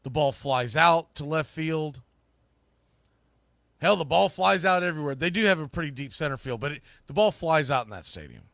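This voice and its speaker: Emphatic man talking sports, slightly high voice. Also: lively intonation, normal speed, creaky, a bit bright, no room sound, studio quality. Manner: emphatic, opinionated, repeats phrases for stress. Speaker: sports podcast host, play-by-play broadcaster. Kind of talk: sports analysis talk.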